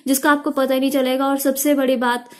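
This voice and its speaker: A young woman teacher giving a video lesson on speaking skills, explaining steadily and stating short tips slowly. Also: high voice, little pitch movement. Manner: explains in mixed Hindi and English, reads out English tips slowly. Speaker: IELTS teacher.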